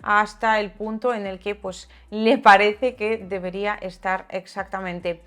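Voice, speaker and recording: A woman's voice: mid-range voice, flat delivery, unhurried, a bit bright. Opinionated woman giving political commentary. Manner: opinionated, sarcastic, conversational. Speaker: video channel host and commentator. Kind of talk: political commentary to viewers, part read aloud.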